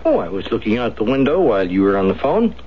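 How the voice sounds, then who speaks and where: An actor playing a cynical, flippant drinker in a radio drama, with a mocking, theatrical delivery. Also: male voice, lowish voice, huge pitch swings, quick pace. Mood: sardonic. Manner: sardonic, theatrical. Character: cynical, boozy male companion, mocking, flippant. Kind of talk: 1970s radio drama acting. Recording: dull, noisy 1970s radio recording.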